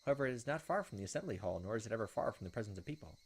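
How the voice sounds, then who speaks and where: A man's voice: lowish voice, lively intonation, racing, husky. A man reading visual novel text aloud as a let's player, with casual asides. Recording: game music behind the voice. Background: video game music.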